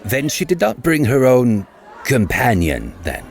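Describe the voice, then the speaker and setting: An actor playing a haughty aristocratic lord in an audiobook, creaky-voiced, stiff and coolly condescending in his politeness. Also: mid-range voice, wildly swooping pitch, a little slow, some chatter behind, exaggerated voice. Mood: stiff, coolly polite. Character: haughty, cool aristocratic lord, host of a ball. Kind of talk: full-cast audiobook acting.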